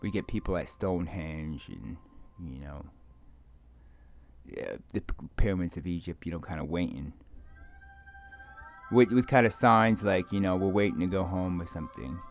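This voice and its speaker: A man giving a rambling, casual monologue to viewers, halting and repeating his words as he trails off. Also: deep voice, lively intonation, highs cut off. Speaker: video creator speaking to viewers.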